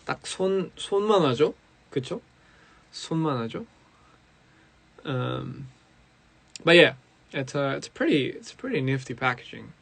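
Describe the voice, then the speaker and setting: A young man with a raspy, hoarse voice, chatting casually as a musician on a live stream and switching between English and Korean. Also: mid-range voice, very animated, fast talker, long pauses, fairly bright tone, dry recording. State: hoarse after a recording session.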